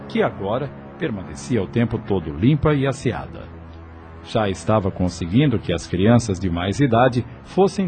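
A man narrating a radio drama in a measured, expressive storytelling voice. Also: fairly low pitch. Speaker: narrator and announcer. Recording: music beneath the narration.

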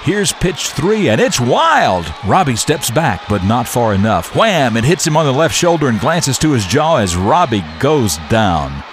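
A man hosting morning radio and narrating stories in an expressive, folksy, emphatic manner. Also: medium pitch, a little slow, hardly any pauses, faint music behind. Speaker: radio show host and storyteller. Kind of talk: morning radio talk and dramatic story narration.

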